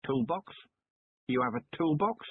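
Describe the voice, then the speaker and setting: A man voicing a weary, sardonic male police commander who speaks tersely in a raspy voice. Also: on the high side, animated, a little slow, pitched above the performer's own voice, full character voice. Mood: dry. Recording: muffled lo-fi narrow-band recording.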